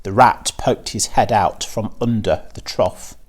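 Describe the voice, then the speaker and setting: A man narrating a children's story aloud in an expressive, deliberate and measured manner. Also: on the low side, slightly rough. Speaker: teacher reading aloud.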